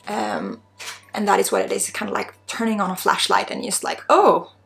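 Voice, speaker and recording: Swedish woman doing healing asmr, soft whispery voice w lots of fry, informal n hesitant, faint music behind. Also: mid-range voice, wildly swooping pitch, normal speed. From Sweden. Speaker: spiritual guide, energy healer. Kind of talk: ASMR spiritual healing session, unscripted.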